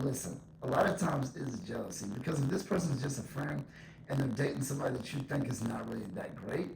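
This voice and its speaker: A man's voice: mid-range voice, vocal fry. A man hosting a solo podcast, giving an informal, blunt and emphatic opinion monologue that repeats its points, with an echo effect on his voice. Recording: echo effect, faint background noise.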